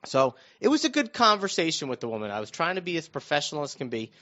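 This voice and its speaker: A middle-aged American man telling stories casually on a talk show, halting and restarting his phrases as he goes. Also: fairly high pitch, sing-song, pushed and bright. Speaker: radio show panelist recounting his own news. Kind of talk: casual conversational storytelling. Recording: dull, lo-fi muffled sound.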